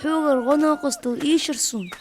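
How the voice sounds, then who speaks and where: A woman's voice: high-pitched, fast talker, a little mellow, a little hiss or hum, lightly acted. A woman voicing a young cartoon character in a dub. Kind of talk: cartoon dubbing.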